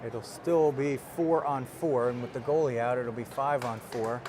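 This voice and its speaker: A man's voice: mid-range voice, fairly even intonation, moderate pace. A man doing live play-by-play commentary on ice hockey in clipped running calls of the action. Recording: loud crowd and rink noise. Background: crowd and rink noise.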